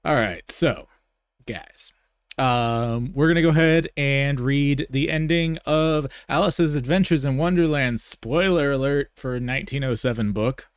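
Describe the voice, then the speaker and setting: A man's voice: mid-range voice, a little slow, clean tone, no echo. Casual male podcast host, dry close sound. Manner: informal, self-correcting. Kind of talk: casual live show talk and reading aloud.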